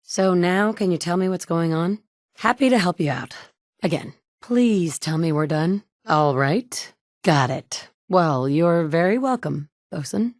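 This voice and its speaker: A dry, blunt game heroine. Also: female voice, on the low side, animated, unhurried, darkish tone, no echo. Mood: terse. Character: tough wry woman survivor, young adult. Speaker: player character. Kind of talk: video game dialogue.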